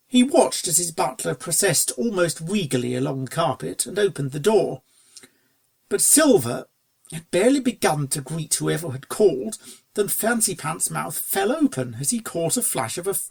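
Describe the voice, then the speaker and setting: A storyteller reads scripted fiction in a mid-pitched voice. There is a noticeable creak running through the narration.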